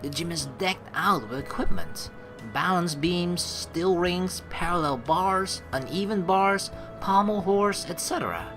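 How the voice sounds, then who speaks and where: A woman's voice: fairly low pitch, a little slow. A woman doing a playful let's play, reading game narration aloud and adding teasing, jokey asides. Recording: music behind the voice. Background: game music.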